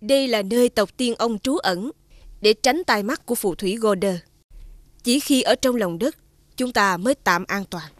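A woman playing a noble, protective fairy queen in an animated fairy tale, earnest and dignified. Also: fairly high pitch, moderate pace, dry recording, natural voice. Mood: reassuring.

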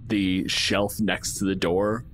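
A man's voice: low-pitched, level tone, takes it slowly, a bit bright, slight background noise. A man narrating a tabletop game as game master in a casual, improvised, wry and descriptive way. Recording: music underneath. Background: background music.

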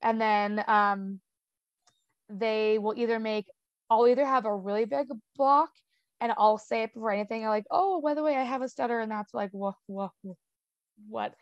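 A woman's voice: fairly high pitch, moderate pace, dry recording. Young woman who stutters, dry dull audio. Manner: stutters with repeated sounds and blocks, fillers like "um" and "like". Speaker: interview guest. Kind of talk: casual conversational interview.

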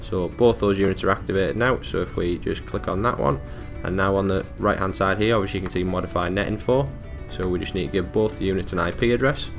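A man presenting a technical support tutorial, talking through software steps in a casual, informal, matter-of-fact way. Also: sits low, fast, creaky, hardly any pauses, faint music behind. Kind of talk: casual step-by-step software walkthrough.

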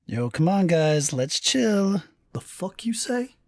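A cocky, laid-back young guy in an audio drama, acted by a man. His pitch swings widely and he drags his words very slowly, in a dry but slightly unclear recording. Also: very animated, no room sound, heavily acted. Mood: agitated. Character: cocky, laid-back male student smoothing over trouble.

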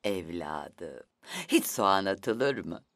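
A woman voicing a kindly, amused old grandmother in a children's cartoon, gently chiding, with music behind her. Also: extremely low pitch, expressive, slow, no echo, studio quality, heavily acted.

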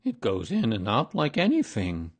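A man's voice: lowish voice, wildly swooping pitch, moderate pace, slightly rough, heavily acted. A man voicing a gloomy, proud old toy donkey from a storybook, ponderous in manner. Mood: pleasantly surprised. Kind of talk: storybook character reading.

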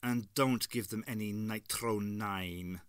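A man's voice: lowish voice, steady tone, takes it slowly, clean tone, no room sound. A man reading aloud and voicing a stern male mentor giving careful instructions.

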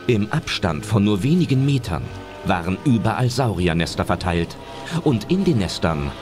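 A man's voice: fairly low pitch, very animated, normal speed, music in the background. A man narrating a scripted German audio drama as its storyteller.

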